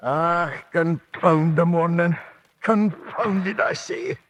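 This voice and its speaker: An actor as a groaning, grumbling Scottish roadmender. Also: male voice, a little high, takes it slowly, a bit bright, highs cut off, slight background noise. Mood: groaning, hungover. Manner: groaning, folksy dialect. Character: hungover, grumbling Scottish roadmender, working man, friendly. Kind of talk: radio drama acting.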